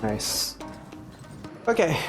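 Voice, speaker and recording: A woman's voice: drawn-out words, soft background music. A woman giving casual gameplay commentary as a game streamer.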